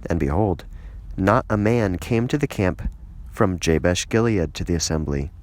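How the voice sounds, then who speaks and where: A man reading the Bible as scripted narration, with solemn, deliberate phrasing. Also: deep voice, animated, a little mellow. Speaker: scripture reader.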